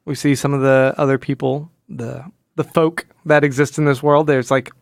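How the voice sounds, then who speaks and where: Smooth-voiced man co-hosting a casual podcast. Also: mid-range voice, level tone, moderate pace, no echo, studio quality. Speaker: podcast co-host. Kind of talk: casual comic book discussion.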